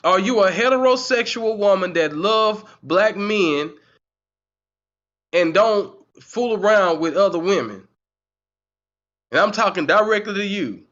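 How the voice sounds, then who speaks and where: A man's voice: sits high, lively intonation, unhurried, long pauses, fairly bright tone, lo-fi sound. A man in his forties hosting a heated commentary stream, repeating himself and firing rhetorical questions at the chat. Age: 40s. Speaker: channel host. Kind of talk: livestream commentary, reading the chat.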